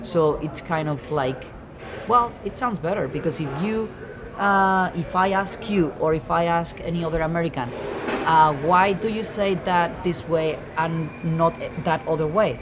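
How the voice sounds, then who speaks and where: A speaker giving a deliberate, reflective interview answer. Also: medium pitch, background noise. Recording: crowd chatter in background.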